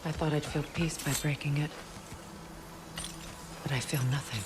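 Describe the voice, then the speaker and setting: An actress playing a proud, wounded former queen and sorceress in a cinematic video game, measured and solemn. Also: low voice, steady tone, over music, close to an everyday voice. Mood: sorrowful, reflective. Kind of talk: dramatic cinematic game acting.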